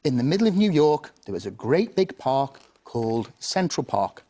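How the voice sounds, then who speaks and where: A man's voice: medium pitch, sing-song, normal speed, dark tone. A man, a primary head teacher, speaking to an audience in a simple, instructive classroom manner. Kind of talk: explaining and teaching to an audience, storybook reading. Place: television studio.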